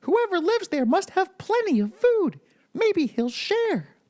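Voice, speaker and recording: Plucky cartoon farmer mouse for a storybook: very high, eager, cheerful. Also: male voice, big pitch swings, slow, a little mellow, heavily acted.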